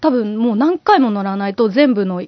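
A woman chatting casually as a podcast co-host, telling things with animated enthusiasm. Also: medium pitch, moderate pace, lo-fi sound. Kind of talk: casual chat among friends.

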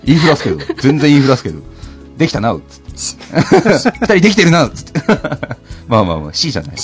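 A man's voice: medium pitch, huge pitch swings, fairly quick, soft background music. A man hosting a casual comedic radio chat, teasing and self-deprecating, sometimes slipping into campy feminine phrasing. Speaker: radio show host.

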